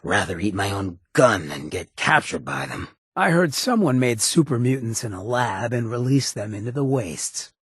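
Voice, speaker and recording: A roguish ghoul leader from a video game, with a very husky, gravel-rough low voice and an American accent. He swaggers through clipped, slangy lines in a cocky drawl that swings freely in pitch. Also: sing-song, normal speed, hardly any pauses, a bit bright, no echo, clean studio sound, heavily acted. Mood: wry, musing. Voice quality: raspy, gravelly. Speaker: companion character in a video game.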